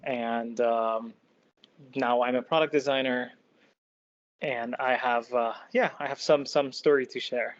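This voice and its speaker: A man from Iran telling his own story as a podcast guest, in a halting, thoughtful way. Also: mid-range voice, fairly even intonation. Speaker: podcast guest, product designer. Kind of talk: personal storytelling in an interview. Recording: dull lo-fi close dry sound.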